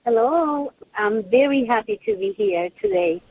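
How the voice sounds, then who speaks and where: A woman's voice: high voice, expressive, normal speed, creaky. A woman from Puerto Rico with a Puerto Rican accent, a radio guest eagerly telling her life story. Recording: tinny phone line.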